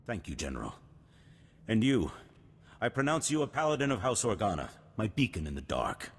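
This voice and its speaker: An actor playing a noble, resolute duke in a video game, husky, formal and stately in delivery. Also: male voice, on the low side, big pitch swings, fairly quick, exaggerated voice. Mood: solemn, stirring. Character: noble, resolute older duke, solemn war leader. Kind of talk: cinematic video game voice acting. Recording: long hall reverb, music behind.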